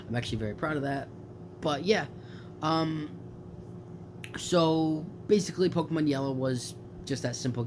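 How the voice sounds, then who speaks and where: A man's voice: mid-range voice, background noise. A young man with a stuffed-up nose chatting casually about video games, rambling with lots of fillers and self-corrections. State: stuffed up from allergies. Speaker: gaming YouTuber. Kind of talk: casual late-night video chat.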